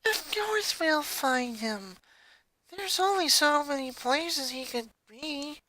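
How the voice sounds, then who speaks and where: A reader doing a cranky little princess, high-pitched. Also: expressive, takes it slowly, pushed and bright, slight background noise, exaggerated voice, rough recording. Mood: tired, cranky. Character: cranky, tired, accusing little princess girl.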